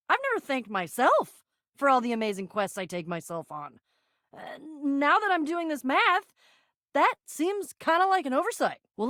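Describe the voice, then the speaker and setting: A woman's voice: high-pitched, a bit bright, dry recording, studio quality. A woman voicing an eager, quest-loving young female adventurer in a video game, slangy and prone to stammering. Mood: flustered, hesitant. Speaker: voice actor in a dating game. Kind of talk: scripted video game character lines.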